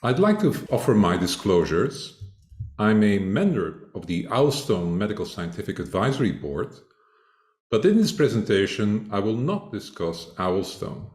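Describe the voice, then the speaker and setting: An older man from Flanders, Belgium, giving a measured academic conference talk as a speaker. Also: lowish voice, very animated, darkish tone, roomy sound. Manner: measured, deliberate lecturing.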